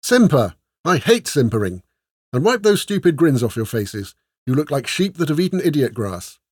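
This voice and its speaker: A man's voice: a little high, very animated, moderate pace, breathy edge, no echo, clean studio sound, pitched above the performer's own voice. A man voicing a bossy, exasperated young noblewoman in an audiobook, with a snappish, imperious manner.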